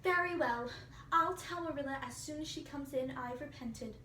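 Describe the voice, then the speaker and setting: A high-pitched, imaginative orphan girl played by a woman in a storybook reading, gushing and earnest with melodramatic flourishes. The sound is roomy, with faint noise behind it. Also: moderate pace, sharp tone. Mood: plaintive, repentant. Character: dramatic, imaginative red-haired orphan girl, effusive and earnest. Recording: roomy, distant mic, faint hiss.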